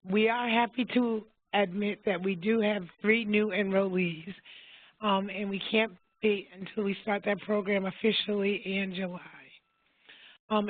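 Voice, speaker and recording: A woman presenting a webinar as a health plan's member advocate, her voice going hoarse, speaking in a measured way with fillers and small self-corrections. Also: mid-range voice, dry recording. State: losing her voice. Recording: dry phone-line audio.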